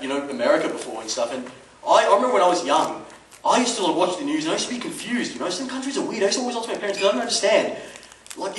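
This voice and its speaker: A man's voice: high-pitched, a bit bright. A man from Dubai performing a conversational stand-up comedy routine, peppered with rhetorical questions and swearing. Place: comedy club stage. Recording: roomy, distant mic, noisy club recording. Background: audience laughter, background noise.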